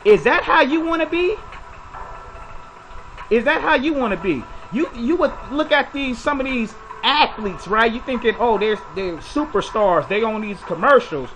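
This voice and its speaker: High, bright-voiced man lecturing bluntly. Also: expressive, normal speed, a little hiss or hum, low-quality recording. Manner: preachy, blunt, lecturing with rhetorical questions. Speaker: commentary video host. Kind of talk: opinionated commentary monologue.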